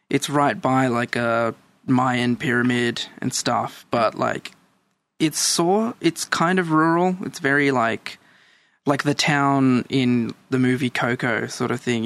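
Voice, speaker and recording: A man's voice: medium pitch, gravelly, a bit bright, no echo. A man in his thirties, born in El Salvador, chatting casually and telling stories as a guest. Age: 30s. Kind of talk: casual chat.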